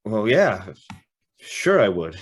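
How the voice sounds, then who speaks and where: A man's voice: on the low side, sing-song, slow, slight background noise. An actor playing an earnest, awestruck young man who also narrates an old-time radio drama. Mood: shy, smitten. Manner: hesitant, stammering in dialogue.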